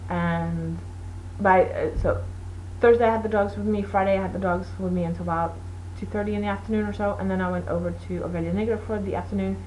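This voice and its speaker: A woman's voice: mid-range voice, steady tone, fast talker, few pauses, darkish tone, a little hiss or hum, poor audio. A woman whose first language is Portuguese, hosting a knitting show in a casual, rambling chat with many fillers. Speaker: knitting podcast host.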